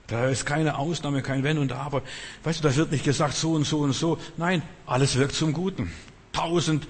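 A man's voice: mid-range voice, slightly rough, big-room sound. A man preaching off the cuff as a non-native speaker, emphatically repeating phrases and addressing his listeners directly. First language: not German. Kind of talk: extemporaneous sermon.

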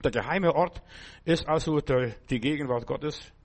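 A middle-aged man preaching a sermon in short emphatic phrases, often checking whether his listeners follow him. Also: normal speed. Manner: speaks in short phrases with sudden pitch and volume jumps.

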